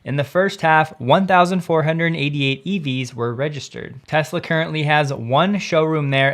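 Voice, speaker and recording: Slightly high-voiced man presenting scripted news. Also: a bit bright. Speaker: host of an electric car news show.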